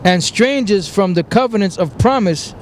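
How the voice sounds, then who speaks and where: A man preaching outdoors, slowly reading scripture verses aloud and then explaining them. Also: high voice, animated, slight background noise.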